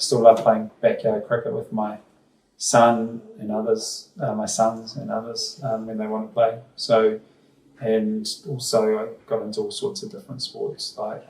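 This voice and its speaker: New Zealand man, echoey and far off. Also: fairly low pitch. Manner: thoughtful, hesitant with many ums and restarts. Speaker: school principal. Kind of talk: casual interview answers. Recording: roomy sound from far off the mic.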